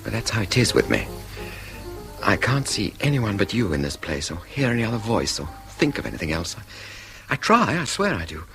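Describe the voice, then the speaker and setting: Low-voiced, lovelorn English gentleman. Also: big pitch swings, faint music behind. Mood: desperate pleading. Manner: earnest, halting, imploring. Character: lovelorn, idle, wealthy English gentleman, pleading suitor. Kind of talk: British radio drama acting.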